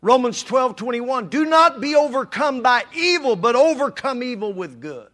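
Bright-voiced preaching man. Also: extremely high pitch, animated, moderate pace. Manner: swings from quiet to loud, shouted preaching. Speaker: pastor. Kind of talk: sermon.

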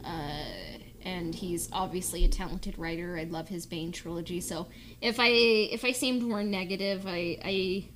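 A woman's voice: lowish voice, steady tone, a little slow, fairly bright tone, a little hiss or hum. A woman co-hosting a podcast in a casual fan-chat style. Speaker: podcast co-host.

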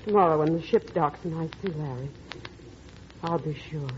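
An actress playing a wistful, gentle stepmother with a secret, in a halting, earnest old-time radio drama style. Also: low voice, background noise, natural voice. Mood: earnest, hesitant. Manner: halting, hesitant, softly earnest. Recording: muffled old radio recording, music behind.

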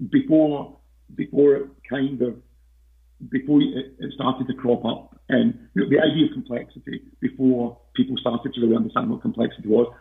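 A man as a podcast guest in casual interview talk, hesitant with many fillers and restarts, his voice croaky. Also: mid-range voice. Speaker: podcast guest, investment researcher. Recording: tinny phone-like line.

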